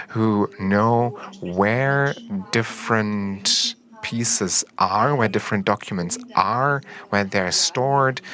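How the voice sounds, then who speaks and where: A man live-interpreting a panel discussion, speaking in halting, short fragments of phrases. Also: lowish voice, bright, forceful tone. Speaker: simultaneous interpreter.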